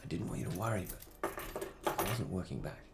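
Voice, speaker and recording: A weary man played by an actor speaks softly and intimately in a film drama. His voice is very deep and creaky, hushed as he soothes his partner late at night. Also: lively intonation, a little fast, very noisy. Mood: weary, apologetic. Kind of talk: naturalistic film drama dialogue. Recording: close-miked film dialogue.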